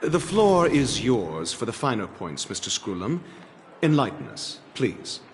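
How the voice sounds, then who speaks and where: A man's voice: medium pitch, sing-song, unhurried, a little hiss or hum. A man playing a self-assured, theatrical scholar envoy in a video game, with a pompous, polished way of talking. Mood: confident, haughty. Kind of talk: scripted game dialogue. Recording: long hall-like reverb.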